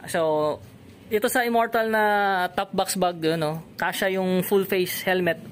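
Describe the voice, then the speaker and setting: Casual shop-tour host, mid pitch, talkative and echoing; noisy store. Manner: chatty, repeats and echoes answers, quick laughs. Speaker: vlogger touring a gear shop. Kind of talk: casual on-camera chat. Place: motorcycle gear shop, indoors.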